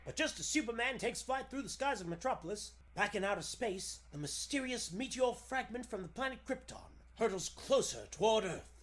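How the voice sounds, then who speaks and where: Dramatic 1940s serial announcer man performing, forceful scripted narration. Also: high-pitched, animated, fairly quick, hardly any pauses, a little hiss or hum. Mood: dramatic, suspenseful.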